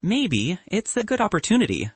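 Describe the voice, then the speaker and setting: A man's voice: high-pitched, huge pitch swings, normal speed, breathy edge, no echo, voice pushed up, full character voice. A man voicing an earnest, awkward young male physics student who answers in dry, understated lines.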